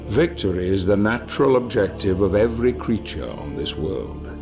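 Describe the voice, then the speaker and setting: A man playing a grave, solemn narrator of a dark fantasy video game, speaking in a deliberate, portentous way. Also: lowish voice, sing-song, over music. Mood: ominous, solemn. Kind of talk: dramatic scripted game narration.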